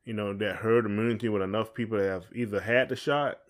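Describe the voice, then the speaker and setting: A man with a fairly low voice co-hosts a casual podcast. He talks in a rambling way, circling back and repeating phrases as he thinks out loud. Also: steady tone, fast talker. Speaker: podcast co-host. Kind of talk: casual conversational chat.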